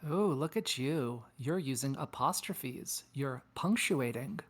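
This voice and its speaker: A woman playing a sensible, exasperated space probe who calms and chides the others in an audio drama table read. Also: rumbling low, slow pace, heavily acted. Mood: dry, teasing. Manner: calming, chiding.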